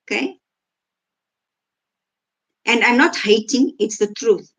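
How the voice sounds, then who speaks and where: A woman's voice: on the high side, animated, slow pace, a little hiss or hum. A woman from Africa, casually reading out comments and answering them with sarcasm. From South Africa. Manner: sarcastic, reads out and rebuts comments. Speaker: vlogger reacting to comments.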